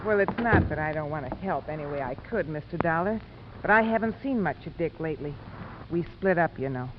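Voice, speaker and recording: A woman's voice: low-pitched, lively intonation, fairly quick. A woman playing an evasive, estranged wife in a 1950s radio drama. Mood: evasive, reluctant. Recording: muffled, noisy 1950 radio recording.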